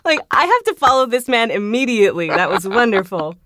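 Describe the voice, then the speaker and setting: A woman's voice: on the high side, very animated, a little fast, fairly bright tone, dry recording, studio quality. A woman voice actor speaking casually as a podcast guest, in an enthusiastic, effusive, giggly way. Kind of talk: casual interview chat.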